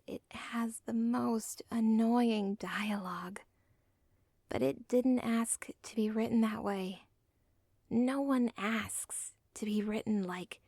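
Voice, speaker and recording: A woman playing a villain's lovestruck, self-aware daughter in an ASMR roleplay, whispering softly with a halting, deliberate, intimate delivery. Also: medium pitch, no room sound. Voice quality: soft, breathy, whispery.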